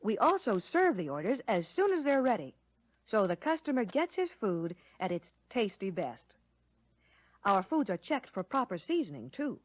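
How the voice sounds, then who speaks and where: A woman playing a courteous American lunch counter waitress, explaining service clearly in a scripted 1960 training film. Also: mid-range voice, sing-song, moderate pace, no echo. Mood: pleasant, instructive. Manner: courteous, instructive, clearly worded.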